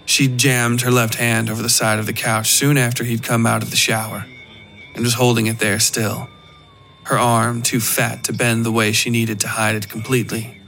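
Creaky-voiced man narrating horror fiction. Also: medium pitch, flat delivery, normal speed, pushed and bright, slight background noise. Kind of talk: scripted story narration, dark fiction.

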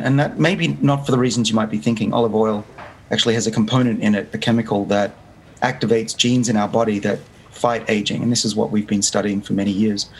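A man's voice: medium pitch, low-quality recording. A man in his fifties with an Australian accent, explaining his research as an interview guest, earnest and full of restarts. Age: 50s. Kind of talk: remote interview. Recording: faint background noise.

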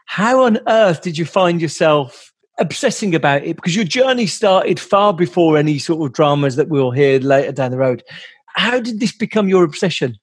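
A man's voice: fairly high pitch, animated, moderate pace, husky, no echo, clean studio sound. A man hosting a podcast in a conversational interview style, speaking in an enthusiastic, effusive way.

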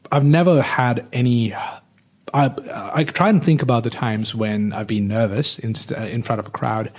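A man's voice: medium pitch, steady tone, normal speed, darkish tone. Reflective man thinking aloud. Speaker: podcast host. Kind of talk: casual conversational interview.

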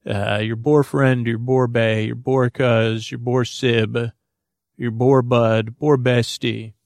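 Male sleep podcast host, gravelly with lots of vocal fry, dry sound, meandering and goofy improvised monologue, hesitant phrasing. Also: medium pitch, level tone, darkish tone, no room sound. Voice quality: creaky, gravelly voice. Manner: meandering, halting, goofy, trails into tangents. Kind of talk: rambling improvised bedtime monologue.

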